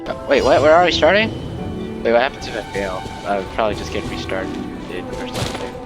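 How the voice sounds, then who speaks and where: A man's voice: mid-range voice, very animated, fast talker, over music. A man giving casual, offhand commentary as he streams a video game.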